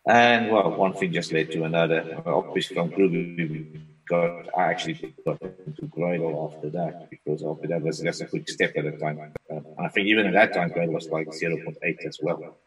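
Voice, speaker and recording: A man whose first language is Afrikaans, a software consultant talking as a guest on a remote interview in a relaxed, rambling way. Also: low-pitched, fairly quick, far from the mic, poor audio. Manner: relaxed, rambling with frequent restarts. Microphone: video call.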